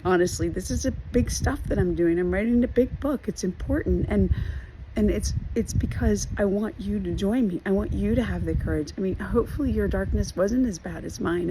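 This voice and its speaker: A hoarse woman giving an earnest personal video update, talking haltingly with many fillers and restarts. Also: mid-range voice, background noise. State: hoarse from losing her voice. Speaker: trauma recovery vlogger.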